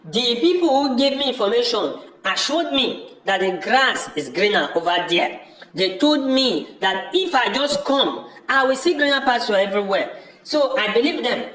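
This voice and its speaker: A man's voice: very high-pitched, big pitch swings, normal speed, a bit bright, full character voice. A man with a Nigerian accent playing a stubborn, fed-up village brother in an amateur audio drama, dismissive and insistently repeating himself. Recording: echoey room.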